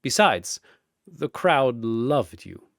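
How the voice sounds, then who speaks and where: A man's voice: mid-range voice, sing-song, very slow, creaky, a bit bright, no room sound, studio quality, exaggerated voice. A man voicing an amused, teasing, godlike cosmic arena master in a showy manner for a fantasy battle story.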